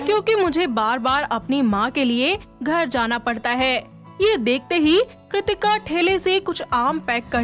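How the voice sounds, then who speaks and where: A woman's voice: high-pitched, a bit bright. A woman reading scripted narration for a moral bedtime story. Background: faint music.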